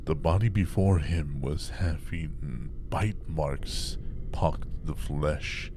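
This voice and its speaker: A man playing an ominous, grave narrator, telling a horror-comedy audio drama in a deliberate storytelling manner. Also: rumbling low, noisy background. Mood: grim, deliberate.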